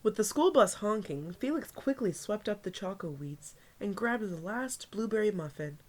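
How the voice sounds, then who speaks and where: Woman, teacher role, children's story read-aloud, lively intonation, middle pitch, chatty asides. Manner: pauses for asides to young listeners. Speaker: teacher reading aloud to pupils. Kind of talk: expressive picture-book read-aloud for children.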